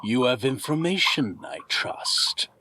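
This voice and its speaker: A man playing a scheming, amused villain lord in a fantasy audio drama, speaking in a measured, gloating way. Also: medium pitch, animated, sharp tone, a little hiss or hum. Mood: cold, expectant.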